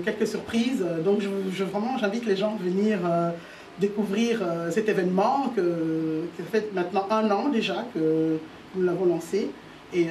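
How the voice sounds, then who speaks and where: A woman's voice: fairly low pitch, warm tone. Woman from Italy, talk show guest, hesitant, many fillers, roomy echo, distant mic. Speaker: association organiser, guest. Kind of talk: interview answers. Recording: roomy sound from a distant mic with faint noise.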